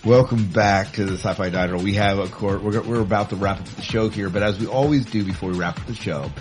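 A man's voice: lowish voice, a little fast, soft background music. A man hosting a podcast in a casual, chatty style. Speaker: podcast host.

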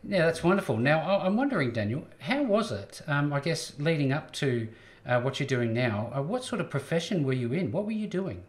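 A man's voice: on the high side, big pitch swings, brisk. A man hosting an interview show, asking questions with upbeat, encouraging interjections. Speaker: show host.

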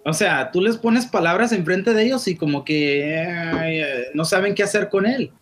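Slightly high man with casual slang. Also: moderate pace, slight background noise. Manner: casual, mixes in English words like "man". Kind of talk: casual chat.

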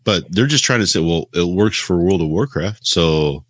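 Man, deep voice, dry recording, casual podcast co-host, explanatory, opinionated. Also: lively intonation, normal speed, dry recording. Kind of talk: casual tech discussion.

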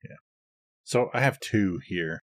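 Male co-host, lower voice, dry studio-like sound, agreeable and plain-spoken in casual discussion. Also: takes it slowly, dry recording. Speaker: podcast co-host. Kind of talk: casual conversational podcast discussion.

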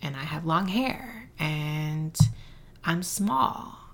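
A low-pitched woman speaks slowly as an interview guest, with a gravelly edge and a bright, forceful tone. She pauses to search for words, often filling gaps with "um" and "like.". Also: expressive. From Mexico City and San Antonio, Texas. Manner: thoughtful, searching for words, frequent um and like. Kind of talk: reflective interview conversation.

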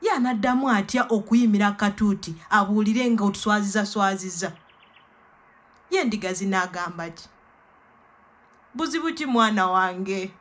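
A woman's voice: mid-range voice, normal speed, long pauses, fairly bright tone, close to an everyday voice. A woman playing an outraged mother in a radio play, scolding her grown daughter with reproachful questions. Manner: reproachful, interrogating, swooping exclamations.

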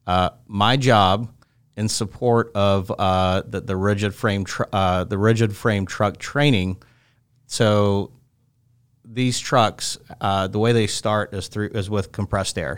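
A man in his thirties from Russia, a guest in an interview, explaining things at length in a relaxed, conversational way. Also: low-pitched, clear, resonant tone, no echo.

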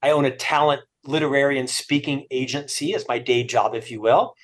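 A man's voice: medium pitch, fairly quick, fairly bright tone. A middle-aged man from Florida with a stutter, speaking as an interview guest and author with upbeat, self-deprecating humor. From Florida, USA. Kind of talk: interview conversation.

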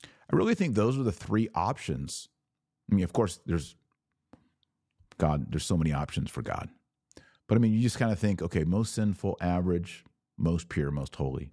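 A man's voice: low-pitched, big pitch swings, normal speed, pauses often, dark tone, no echo, clean studio sound. A man hosting a religious podcast, talking informally and emphatically, often with rhetorical questions and repeated phrases.

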